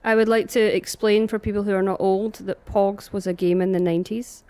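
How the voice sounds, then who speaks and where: Mid-pitched woman chatting on a podcast. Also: steady tone, fairly quick, dark tone. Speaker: podcast co-host, shop staff. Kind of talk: casual banter.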